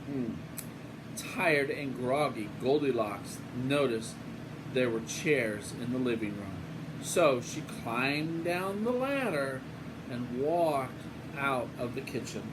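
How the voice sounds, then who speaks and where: An older man reads a children's storybook like a grandfather at home, taking his time over each phrase. His tone is playful and casual, slipping into folksy asides. A steady room hum runs clearly behind his voice. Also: medium pitch, slow. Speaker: grandfather reading a picture book aloud.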